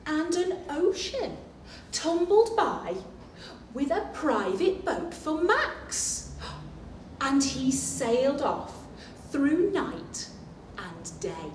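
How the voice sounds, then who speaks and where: A woman reads a picture book to small children in a very slow, expressive storytelling voice. Her pitch is on the high side and she often turns to the listeners with asides and questions. The room is echoey around her. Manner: animated, expressive, with gasps and questions to the young listeners. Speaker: librarian reading to young children. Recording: echoey room, distant mic, faint noise.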